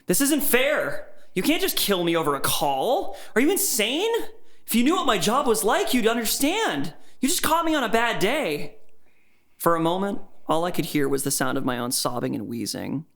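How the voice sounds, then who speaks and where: A man's voice: mid-range voice, vocal fry, a bit bright. An actor playing a frightened captive office worker, narrating his ordeal in the first person as an earnest, tense horror story. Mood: shaken.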